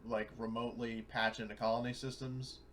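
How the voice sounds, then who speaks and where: A man's voice: mid-range voice, little pitch movement, fairly quick, fairly bright tone. A man chatting casually as a player in a tabletop roleplaying game, talking in a loose, rambling way. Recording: noisy room ambience on recording.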